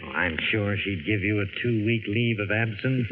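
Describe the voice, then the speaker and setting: An American actor playing a genial, enthusiastic professor and explorer in a 1940s radio drama. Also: male voice, on the low side, normal speed, lightly acted. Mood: genial, persuasive. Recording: tinny, noisy 1940s radio recording.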